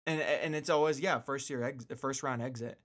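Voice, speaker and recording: A man's voice: mid-range voice, steady tone, normal speed, fairly bright tone. A man hosting a casual solo radio show, talking informally with slangy asides and repeating words for emphasis. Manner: emphatic repetition for stress.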